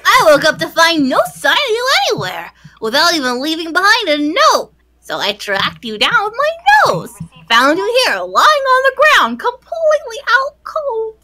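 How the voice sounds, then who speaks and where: A woman's voice: very high voice, wildly swooping pitch, normal speed, bright, forceful tone, pitched above the performer's own voice, heavily acted. A woman voicing a grumpy, worried little female teddy bear in a visual novel, scolding and exclaiming urgently.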